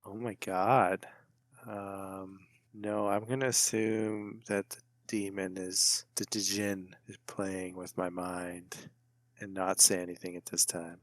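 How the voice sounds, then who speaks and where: A man's voice: sits low, level tone, takes it slowly, no room sound. A man playing a tabletop roleplaying game, talking casually about what his character does.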